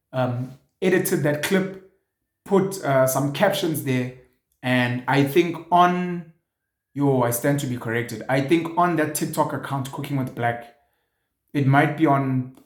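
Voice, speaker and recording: A South African man in his thirties hosting a show, talking earnestly and reflectively with many fillers. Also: medium pitch. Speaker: show host. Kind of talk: casual monologue to camera. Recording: echoey room.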